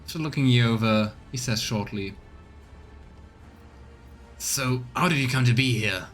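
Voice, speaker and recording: A man's voice: mid-range voice, unhurried, a bit bright, soft background music. A man streaming a game, reading its text aloud as narration and adding casual comments of his own. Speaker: streamer, let's player.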